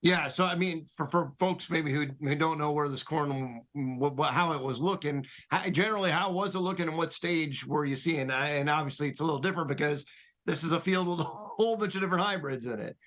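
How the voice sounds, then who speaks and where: A man's voice: fairly high pitch, brisk, a bit bright. A man talking casually as the interviewer on a farm show.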